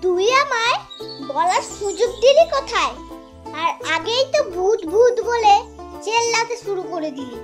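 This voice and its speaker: A woman's voice: very high voice, expressive, moderate pace, faint music behind. A woman voicing a clever, bossy little village sister in a children's cartoon, chiding and explaining things. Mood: exasperated. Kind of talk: children's moral-story cartoon acting.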